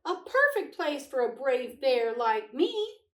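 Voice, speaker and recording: A small, earnest storybook toy bear, acted out by a woman, wondering and childlike. The voice is high and slow, with plaintive turns. It comes from a little way off in an echoey room. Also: very animated, exaggerated voice. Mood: pleased. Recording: echoey room, distant microphone.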